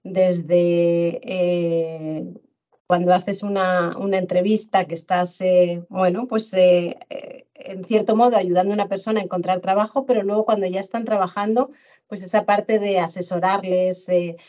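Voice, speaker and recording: A middle-aged woman from Madrid, a guest on a podcast, recounting her career in a measured way with frequent fillers and repeated words. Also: fairly low pitch, fairly even intonation, warm tone, muffled recording. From Spain, Madrid. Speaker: guest, HR director. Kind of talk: conversational interview.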